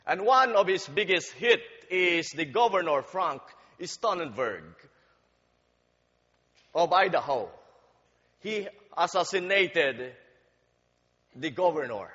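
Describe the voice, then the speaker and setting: A man in a somewhat high voice gives a sermon at an unhurried, deliberate pace, stumbling now and then over fillers. His story climbs in force as it builds. The sound is dull and carries a long reverb through the church hall. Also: lively intonation, bright, forceful tone, husky. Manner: slow, deliberate, halting delivery with fillers. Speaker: guest preacher.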